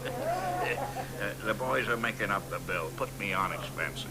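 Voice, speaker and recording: An actor playing a boastful, crude old American poet in a radio drama, drawling and dropping into hoarse whispers. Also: male voice, on the low side, huge pitch swings, a little slow, very noisy. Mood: swaggering.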